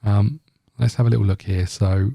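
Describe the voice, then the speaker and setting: A man's voice: fairly low pitch, steady tone, normal speed, vocal fry, mellow, dry recording, studio quality. A man hosting a gaming video, giving casual let's-play commentary in a rambling, thinking-aloud way.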